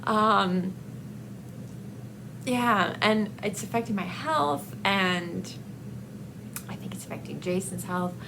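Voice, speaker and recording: A woman chatting casually about books as a vlogger, in a hesitant, rambling, halting way. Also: mid-range voice, creaky, noisy background. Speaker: book vlogger. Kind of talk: casual book review chat to camera.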